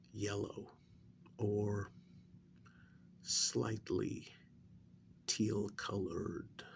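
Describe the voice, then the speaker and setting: A man plays a gentle, clinical male researcher in a soft-spoken ASMR role-play. His low voice is heavily creaky and breathy, close to a whisper. He talks slowly and deliberately, soothing and careful, over faint background noise. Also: exaggerated voice. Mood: calm, careful. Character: calm clinical male researcher gently interviewing a werewolf patient.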